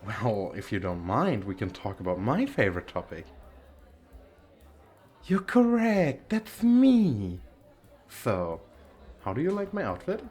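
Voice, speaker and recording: Man playing a slow, vain male model. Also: on the low side, wildly swooping pitch, a little mellow, full character voice. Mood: haughty, disdainful. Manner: boastful, theatrical, coquettish, with drawn-out pauses. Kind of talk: flirtatious ASMR roleplay monologue.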